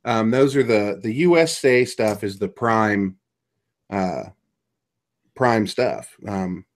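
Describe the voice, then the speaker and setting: A middle-aged man hosting a podcast, chatting casually and joking over a video call from a small home room, trailing off with ums as he goes. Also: lowish voice. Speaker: podcast host. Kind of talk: casual chat. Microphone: webcam on a video call.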